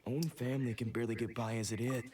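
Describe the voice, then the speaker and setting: A man's voice: mid-range voice, fairly even intonation, racing, a loud crowd, lightly acted. A man playing an aggrieved, defensive samurai who is down on his luck in a video game. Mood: defensive, weary. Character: defensive, hard-up samurai protesting his innocence. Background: game music.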